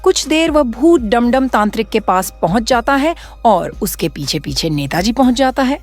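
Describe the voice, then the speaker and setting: A woman's voice: on the high side, expressive, moderate pace, faint music behind. Woman narrating a horror story.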